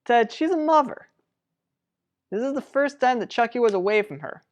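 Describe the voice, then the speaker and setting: A woman's voice: a little high, lively intonation, normal speed, lightly acted. Woman acting sensible sibling in radio soap. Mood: concerned. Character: level-headed, exasperated, protective sibling. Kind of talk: old-fashioned radio soap opera acting.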